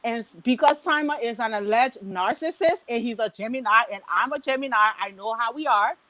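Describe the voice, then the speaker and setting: A woman from Liberia calls in over a video call with a high, bright voice. She pushes her opinions emphatically and often laughs between points. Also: brisk. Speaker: caller. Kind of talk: casual opinionated chat.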